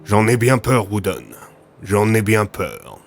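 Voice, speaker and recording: A grim, weary man played by a male narrator speaks in a low, grave voice. He sounds resigned and foreboding in this audio fiction, with music beneath. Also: big pitch swings, takes it slowly, gravelly, exaggerated voice. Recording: music under the voice.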